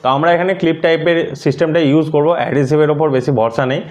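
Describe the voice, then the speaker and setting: A man's voice: on the high side, rapid-fire, a little mellow, lo-fi sound. A man narrating a casual step-by-step tutorial, explaining things and mixing in English words. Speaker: host of a motorcycle gear tutorial.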